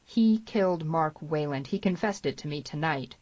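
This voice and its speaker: A stern, defiant gunman from a Western storybook, voiced by a woman. The delivery is low, firm and challenging, with a very dry, slightly muffled recorded sound. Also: expressive, normal speed, darkish tone, no echo. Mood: firm accusation. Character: stern, proud young Western gunman, a man, steely and defiant.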